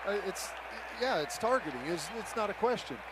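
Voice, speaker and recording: A man's voice: high-pitched, lively intonation, a little fast, a loud crowd. An American man giving conversational football analysis as a radio color commentator, repeating words for emphasis. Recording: very noisy stadium radio broadcast. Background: loud stadium ambience.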